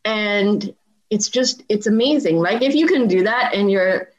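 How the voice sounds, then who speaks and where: Animated woman in her forties. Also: mid-range voice, measured pace, highs cut off. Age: 40s. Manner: animated, acts out dialogue in her stories. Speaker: podcast guest. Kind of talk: personal storytelling in conversation.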